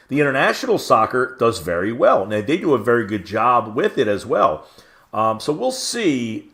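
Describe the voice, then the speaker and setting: A man's voice: on the low side, a bit bright. A man in his late forties co-hosting a sports podcast, giving rambling opinions with plenty of fillers. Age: 40s. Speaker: podcast co-host. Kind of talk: sports media podcast chat.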